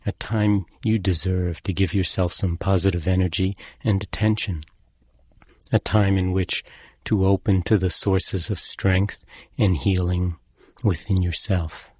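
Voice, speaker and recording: Deep, husky man guiding meditation. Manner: calm, measured, gently instructing. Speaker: meditation instructor. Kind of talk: guided meditation narration.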